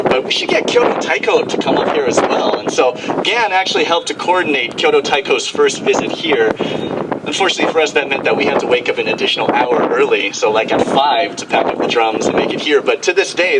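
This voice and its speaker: Guy in his 30s emceeing outside, loud wind all over the mic, kinda halting speech, sounds a bit distant. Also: mid-range voice. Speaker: master of ceremonies. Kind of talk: informal award introduction speech. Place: outdoor stage in strong wind. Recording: windy outdoor stage mic, loud wind noise.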